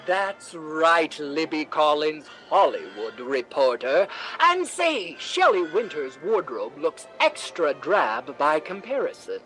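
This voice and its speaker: A man playing a polished 1950s radio announcer, formal and emphatic like a pitchman. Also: sing-song, slow pace, pushed and bright, faint music behind, exaggerated voice. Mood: selling. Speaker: announcer and host.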